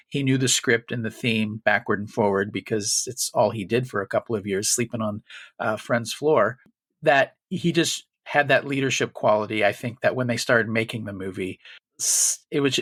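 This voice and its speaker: A man co-hosting a casual film podcast, rambling with frequent restarts and animated bursts. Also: lowish voice, no echo. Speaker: podcast co-host.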